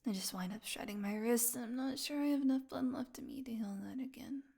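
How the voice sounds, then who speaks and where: A woman playing a starving, captive vampire in an audio roleplay, sardonic and proud but desperate, with halting, breathy speech that often falls to a whisper. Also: fairly high pitch, warm tone. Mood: weak, delirious, sardonic. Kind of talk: intimate dramatic audio roleplay.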